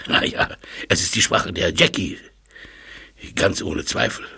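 A man playing a learned, absorbed professor in a German audio drama, musing and explaining with thoughtful pauses. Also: low voice, gravelly, pushed and bright, slightly rough, heavily acted. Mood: lecturing. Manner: musing, lecturing, hesitant pauses. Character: elderly learned male language professor, absorbed and astonished.

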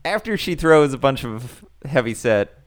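A man's voice: medium pitch, expressive, a little slow. A man co-hosting a casual podcast, recapping a show with wry, sarcastic asides. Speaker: podcast co-host.

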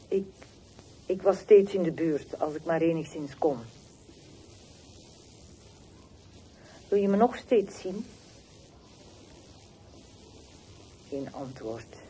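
An actress playing a bitter, wounded middle-aged woman on the telephone in a radio play, terse and reproachful with deliberate pauses. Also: low-pitched, lively intonation, mellow. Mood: pained, urgent. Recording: noisy old radio drama recording.

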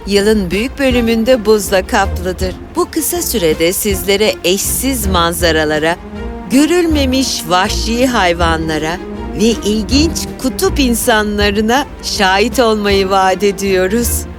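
A woman's voice: medium pitch, animated, unhurried, close to an everyday voice. A woman playing a welcoming cruise announcer in a cartoon fairy tale, formal and polished. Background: music.

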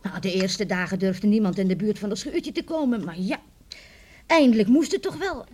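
An actress playing a village woman who tells eerie folk tales to youngsters in an old radio play, in a folksy, drawn-out way. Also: medium pitch, a little mellow, slight background noise, natural voice. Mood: eerie relish. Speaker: storyteller. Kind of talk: radio drama acting.

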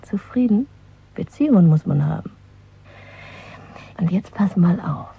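A woman playing a bold, playful, worldly young lover in a German radio play, with a husky voice. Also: lowish voice, animated, a little slow, heavily acted. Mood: playful, proud. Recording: thin phone-like old radio recording.

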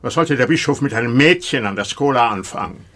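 A man's voice: mid-range voice, animated, a little fast, fairly bright tone, natural voice. An actor playing a wrathful, domineering, pious medieval clergyman father in a German radio play, pompous and stern. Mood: indignant, pompous.

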